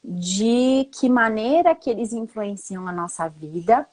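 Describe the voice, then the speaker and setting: A middle-aged woman with a voice of middling pitch, still hoarse after a cold. She speaks as a guest astrologer, laying out her explanations calmly and in measured order. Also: poor audio. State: hoarse, getting over a cold.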